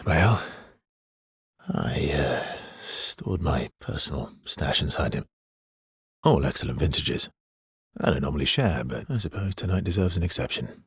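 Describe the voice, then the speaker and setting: A guarded, world-weary immortal expeditioner in a video game drama. His voice is very husky and deep, moving expressively between soft hesitance and protective earnestness, recorded dry and close. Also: animated, a little slow, no echo, exaggerated voice. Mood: hesitant, gentle. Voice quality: husky, breathy, gravelly low voice. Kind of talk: dramatic video game voice acting, naturalistic and understated.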